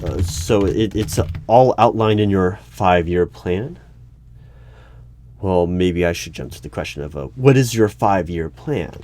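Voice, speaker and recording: A man's voice: low-pitched, a little slow, warm tone, a little hiss or hum. A man playing an exasperated, bureaucratic human resources manager in a one-sided interview roleplay, hesitating and stammering when flustered. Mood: wary, puzzled. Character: exasperated, officious human resources hiring manager at secretive agency. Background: computer keyboard typing.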